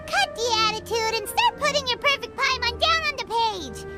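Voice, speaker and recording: A woman voicing a tiny, excitable, blunt fairy girl companion in a video game. The fairy is dramatic and bossy and refers to herself in the third person. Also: extremely high pitch, normal speed. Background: game music.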